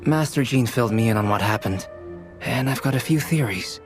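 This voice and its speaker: A man plays a composed young alchemist from a video game, voice sitting at a middle pitch. He lays out his reasoning in a measured, analytical manner, unhurried and precise, with faint music beneath him. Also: lively intonation, lightly acted. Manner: measured, analytical, explains theories. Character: calm, brilliant young male alchemist.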